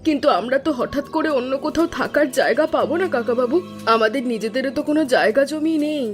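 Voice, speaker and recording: An actress playing a hardworking young wife who runs a small eatery in an animated cartoon story, earnest and steady. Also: female voice, sits high, soft background music, natural voice. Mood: worried. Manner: earnest, quick-speaking. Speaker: cook, hotel owner.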